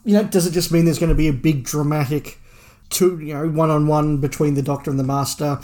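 A man's voice: on the high side, fairly even intonation, brisk, dark tone. Man co-hosting a casual discussion. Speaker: podcast co-host. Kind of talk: casual discussion of Doctor Who fandom news.

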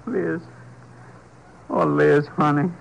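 An actor playing a bitter, desperate ex-convict husband with folksy, uneducated American speech in a 1950s radio drama. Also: male voice, a little high, steady tone, very slow, gravelly, a little hiss or hum, exaggerated voice, rough recording. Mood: remorseful. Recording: old 1950s radio recording.

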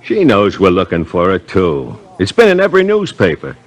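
Low-voiced, blunt police sergeant sidekick. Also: male voice, huge pitch swings, moderate pace, faint music behind, heavily acted. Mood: frustrated. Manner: blunt, informal. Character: plain-spoken, skeptical male police sergeant, loyal sidekick. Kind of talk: 1950s radio crime drama acting.

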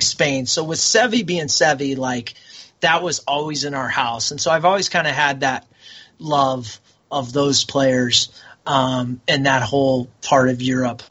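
A man's voice: a little high, bright, forceful tone. A man chatting casually as a guest, rambling and enthusiastic. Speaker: guest, military veteran. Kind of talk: casual podcast chat.

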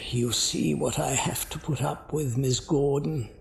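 A fussy older gentleman's butler acted by a man in an audio drama, with a creaky, gravelly voice. He talks slowly and formally with dry sarcasm, in a roomy echo. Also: mid-range voice, fairly even intonation. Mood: exasperated. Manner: formal, dryly sardonic. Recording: roomy echo, faint background noise.

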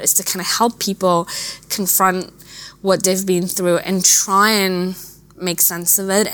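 A woman from Afghanistan, a native Dari speaker, giving earnest, reflective interview answers in a measured way with thoughtful pauses. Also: fairly low pitch, fairly bright tone. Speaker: interview guest, refugee charity director.

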